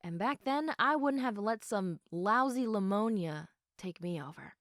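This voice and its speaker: A woman playing a sleepy, affectionate girlfriend in an intimate sleep-aid roleplay, speaking softly and reassuringly with a tendency toward giggles and whispers. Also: mid-range voice, animated, no echo, clean studio sound, close to an everyday voice. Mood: tender, reassuring. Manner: soft, unhurried, often giggly, dropping into whispers. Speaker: audio roleplay performer.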